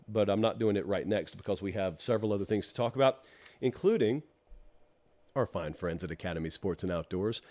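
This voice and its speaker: A man's voice: medium pitch, darkish tone. A middle-aged man hosting a live sports talk show, his voice stuffed up and clogged from allergies. He talks in a loose, chatty way and drops dry jokes aimed at his live chat. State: congested from allergies. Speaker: college football show host. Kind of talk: live-streamed solo talk show with ad read.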